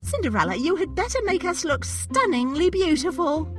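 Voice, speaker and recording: Bossy vain mean fairytale stepmother type, high voice, super swoopy, scolding. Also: big pitch swings, moderate pace. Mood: vain, demanding. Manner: haughty, scolding. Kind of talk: children's storybook acting. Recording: faint music behind the voice.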